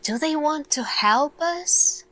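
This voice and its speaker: A woman performing an ASMR sci-fi roleplay as an earnest, thoughtful lead scientist, speaking deliberately with pauses. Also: high-pitched, animated, sharp tone. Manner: slow, deliberate, pausing, questioning delivery. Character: earnest, thoughtful female lead scientist addressing her team.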